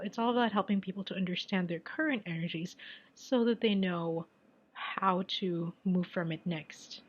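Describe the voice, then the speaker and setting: A woman's voice: mid-range voice, breathy edge, dull audio. A Filipino woman, native in Tagalog, talking casually as a tarot reader giving advice, repeating phrases for emphasis and often dropping to a near whisper. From Philippines.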